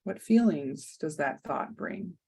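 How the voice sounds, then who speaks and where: A woman leading a guided meditation, speaking softly and soothingly with long pauses. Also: low voice, animated, creaky, breathy edge.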